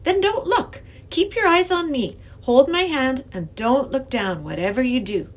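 A woman voicing an earnest, excitable young girl who pleads and insists, in an acted children's storybook reading. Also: high voice, unhurried, voice pushed up. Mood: firm, urgent.

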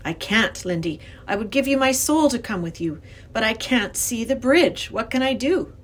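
A kindly older gentleman professor from a children's storybook, played by a woman. He is anxious and hesitant, with genteel old-fashioned turns of phrase and a very lively, expressive delivery. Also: fairly high pitch, moderate pace, breathy edge. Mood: anxious, despairing. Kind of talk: acted children's storybook reading.

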